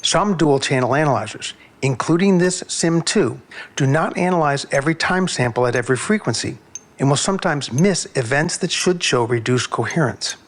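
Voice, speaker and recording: A man narrating a technical video on sound measurement in a measured, precise, explanatory way. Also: fairly high pitch, lively intonation.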